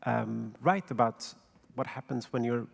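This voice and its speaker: A man from Sweden, co-writer of a film, answering on a festival panel in a thoughtful, halting way full of ums and restarts. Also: mid-range voice, gravelly. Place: festival stage in a large hall. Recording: long reverb of a large hall.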